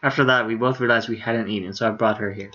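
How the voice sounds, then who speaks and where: A man's voice: lowish voice, fairly even intonation, fast talker, sharp tone. A man streaming a game, reading dialogue aloud with casual, amused asides. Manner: informal, amused. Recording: slightly dull streaming audio.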